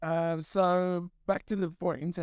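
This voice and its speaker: Rambling thirtysomething man, higher voice. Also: flat delivery, slow, a little mellow, smooth, no room sound. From South Africa. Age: 30s. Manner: rambling, self-deprecating storytelling. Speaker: photographer. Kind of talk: casual chat.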